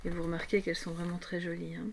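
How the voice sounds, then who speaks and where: A slightly hoarse woman hosting a tarot guidance video, speaking unscripted to her viewers in an explanatory way and often checking in with them. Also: on the low side, completely flat delivery, fast talker, slight background noise.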